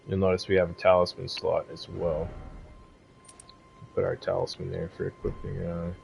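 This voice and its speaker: Deep, gravelly, laid-back man. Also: completely flat delivery, normal speed, darkish tone, faint music behind, rough recording. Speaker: gaming guide streamer. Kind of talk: casual video game walkthrough commentary. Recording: faint game ambience behind voice. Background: faint game ambience.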